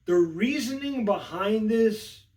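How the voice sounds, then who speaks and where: A man's voice: high-pitched, animated, takes it slowly, a little mellow, far from the mic. A man hosting a casual, unscripted review, talking in a slangy, conversational way and often checking in with the listener. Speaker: movie review host.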